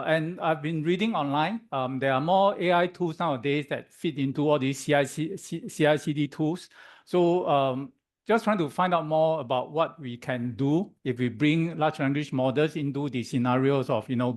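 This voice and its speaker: A hoarse man presenting at a meetup in an office room, pitched a little high for a man. He pauses often on "um" and keeps tossing "okay?" to the room as he explains. The voice sounds strained. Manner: halting, many "um"s, keeps checking in with "okay?". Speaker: guest speaker at a tech meetup. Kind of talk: conference presentation.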